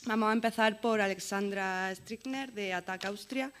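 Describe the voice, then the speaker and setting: Una mujer con acento de España que modera una jornada y presenta a la siguiente oradora con frases breves y prácticas. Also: female voice, mid-range voice, normal speed, fairly bright tone, slight background noise. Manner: brief, practical introductions. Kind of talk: event presentation.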